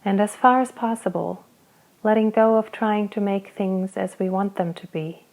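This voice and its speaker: A woman leads a guided body scan in a soft, unhurried voice, leaving long pauses between her phrases. Her tone is warm and mellow. She invites rather than instructs. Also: mid-range voice, steady tone. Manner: slow, soft, gentle guidance with long pauses. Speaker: meditation guide.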